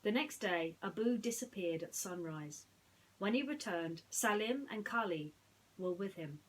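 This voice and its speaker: A woman speaking in an earnest, slightly halting way, as a storyteller chatting and reading to children. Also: mid-range voice, expressive, rough recording. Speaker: storyteller reading bedtime stories.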